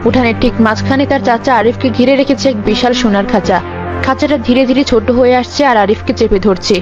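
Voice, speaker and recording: A woman's voice: a little high, quick pace, dull audio, over music. A woman narrator reading a scripted fairy tale as storyteller.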